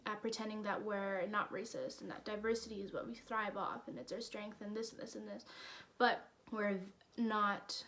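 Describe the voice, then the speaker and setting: A woman's voice: medium pitch, fairly even intonation, normal speed, fairly bright tone. An eighteen-year-old woman with a Canadian accent giving a candid personal testimony as a community project participant. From Edmonton, Alberta, Canada.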